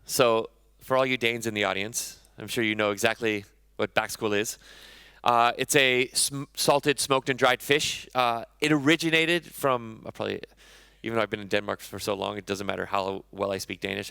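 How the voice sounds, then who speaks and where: A man's voice: lowish voice, steady tone, a little fast, vocal fry, bright, forceful tone, clear, resonant tone, no room sound. A man in his thirties, a chef giving a conversational conference talk on stage, often correcting himself mid-sentence. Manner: conversational, self-correcting, enthusiastic about food.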